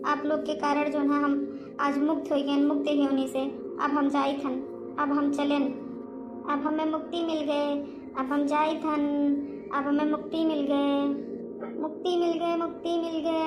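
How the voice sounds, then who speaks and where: A woman's voice: high-pitched, little pitch movement, unhurried, music in the background. A woman with an Awadhi accent voicing a pleading, weary witch ghost in a homemade cartoon, repeating her pleas. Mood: relieved, grateful. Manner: pleading, repetitive. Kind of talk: amateur Hindi cartoon dialogue.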